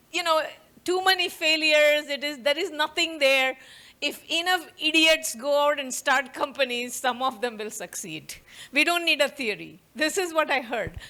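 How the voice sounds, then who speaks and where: A middle-aged woman with an Indian accent speaks to an audience in a university auditorium. Her voice sits high and has a bright edge. She talks fast and conversationally, with frequent light laughs. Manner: quick, warm, laughs often, conversational. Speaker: professor giving a talk. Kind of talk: conference talk to an audience.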